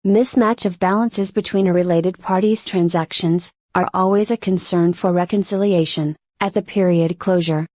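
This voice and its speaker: A woman reading scripted instructional narration for a software tutorial in a measured, step-by-step manner. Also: on the low side, fairly even intonation, no room sound.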